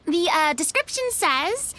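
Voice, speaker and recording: Earnest unsure young game heroine, high voice, slow and swoopy, music behind. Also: female voice, heavily acted. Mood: hesitant. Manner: hesitant, expressive. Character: earnest, self-doubting young theatrical woman, hesitant newcomer. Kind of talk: video game voice acting.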